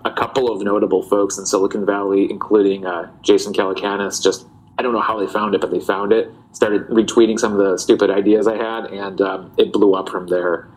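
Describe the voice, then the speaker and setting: Low-voiced relaxed man. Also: low-quality recording. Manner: relaxed, reflective, many fillers and restarts. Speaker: guest, parody account writer. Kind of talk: casual interview talk.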